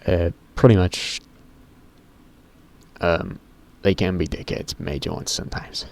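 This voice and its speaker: A teenage boy with a very deep voice, rambling casually to camera in a vlog, often stopping himself mid-sentence and swearing offhandedly. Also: sing-song, unhurried, gravelly, darkish tone. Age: teens. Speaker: YouTuber.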